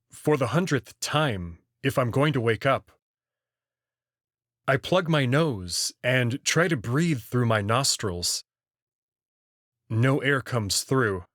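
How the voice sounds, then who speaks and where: A man narrating a first-person sci-fi story in a slow, measured reading. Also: medium pitch, a bit bright, no echo, clean studio sound.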